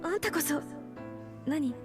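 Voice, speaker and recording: An actress playing a proud, bashful, sharp-tongued young woman scientist in a Japanese visual novel, halting and tender. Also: high voice, animated. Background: game music.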